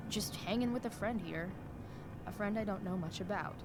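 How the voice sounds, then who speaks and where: A woman's voice: medium pitch, moderate pace, background noise, close to an everyday voice. An actress playing a rebellious, sardonic teenage girl in a video game, speaking casually. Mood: persuasive, probing.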